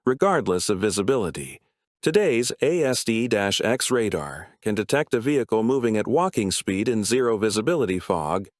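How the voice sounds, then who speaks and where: A man reading scripted documentary narration in a measured, serious manner, breaking it into short dramatic phrases. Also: medium pitch, dry recording, clean studio sound.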